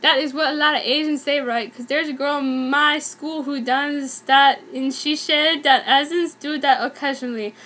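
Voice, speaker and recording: A woman's voice: high voice, fairly even intonation, moderate pace, few pauses, bright, forceful tone. A young woman giving a dramatic, mock-earnest comic reading of a fan story, misspellings and all. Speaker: fan fiction reader.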